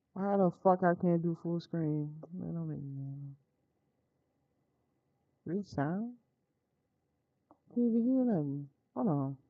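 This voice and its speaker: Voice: mid-range voice, no room sound. An androgynous-sounding American game streamer speaks in a mid-range voice, informal and unfiltered. The talk is casual, muttered and sweary, aimed at live viewers. The sound is dry and close but a little dull and lo-fi. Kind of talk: casual live game stream chat with read-aloud visual novel text.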